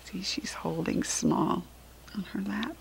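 A woman with an American accent, hosting and reading a storybook to young children with deliberate, expressive enunciation. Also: mid-range voice, vocal fry, in a whisper, poor audio. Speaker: children's storybook reader and host.

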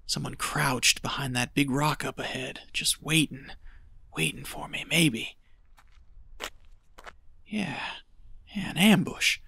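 A man's voice: fairly high pitch, takes it slowly, vocal fry, long pauses, pushed and bright, slightly rough, heavily acted. A man playing a stubborn, gold-hungry desert prospector with a folksy way of talking in an audio drama. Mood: wary, scheming. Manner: folksy, muttering to himself when alone.

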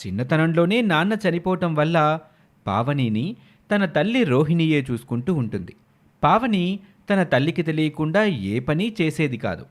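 A man's voice: a little high, very animated, normal speed. A man narrating a scripted moral story as a dramatic storyteller.

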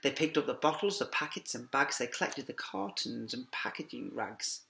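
A middle-aged man teacher reading a rhyming picture book aloud to young children in a lively sing-song way, with quiet asides to them, filmed on a laptop webcam in a classroom. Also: medium pitch, moderate pace, gravelly. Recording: echoey classroom.

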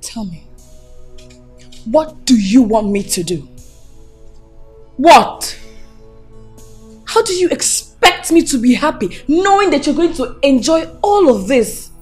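Casting: young sweetheart, high husky voice, hurt and accusing, romantic film drama. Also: female voice, big pitch swings, slow pace, long pauses, darkish tone, faint music behind, heavily acted.

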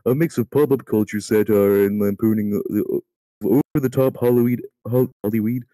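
A man's voice: on the low side, steady tone, fairly quick, warm tone, dry recording. A man reading an encyclopedia article aloud, stumbling over words and swearing casually at his slips. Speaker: amateur reader in a group voice call.

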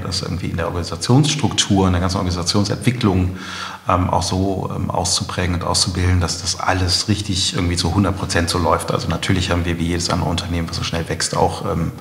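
A middle-aged man answering conversationally as an interview guest, often pausing to search for words. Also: deep voice, gravelly. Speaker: company co-founder, interview guest. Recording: echoey room with long reverb.

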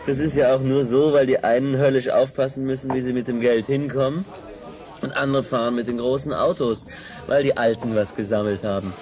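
A man's voice: mid-range voice, steady tone, brisk, darkish tone, lightly acted. An actor playing a gossipy, griping male schoolteacher in naturalistic, colloquial radio drama dialogue. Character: gossipy, grumbling male schoolteacher chatting in a pub.

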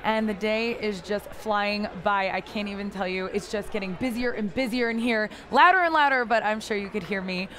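A woman in her thirties hosting a live interview at a convention booth, chatty, bubbly and loud, on a lapel mic. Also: mid-range voice, normal speed, few pauses, slight background noise. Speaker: host. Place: booth at a busy convention hall.

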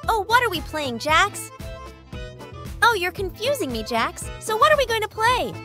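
A woman voicing a timid young female clown in a fan comic dub, high and flustered. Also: very animated, normal speed, bright, forceful tone, faint music behind, exaggerated voice. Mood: puzzled.

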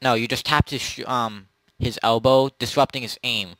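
A man running a tabletop zombie role-play as game master, narrating in a halting, improvised way. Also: medium pitch, fairly even intonation, fairly bright tone, dry recording. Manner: halting, pieces scenes together as he goes.

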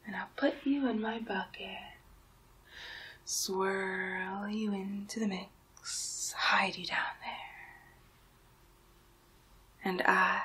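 A young adult woman performing an ASMR roleplay as a magical enchantress, whispering breathily in a hypnotic, drawn-out way. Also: mid-range voice, sharp tone, breathy edge, poor audio. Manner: slow, hypnotic, drawn-out phrasing. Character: magical enchantress turning listener into Halloween candy.

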